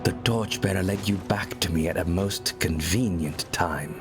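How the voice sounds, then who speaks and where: An actor playing a weary but honourable and defiant man in a fantasy game, grave, deliberate and courteous. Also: low voice, lightly acted. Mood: tender. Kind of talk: dramatic fantasy game acting. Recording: loud music under the voice.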